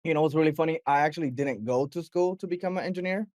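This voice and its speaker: A young man from Mexico, a guest mix engineer, telling his story in a relaxed, animated way, full of fillers and acted-out quotes. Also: on the high side, very fast, no room sound. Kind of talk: casual interview chat.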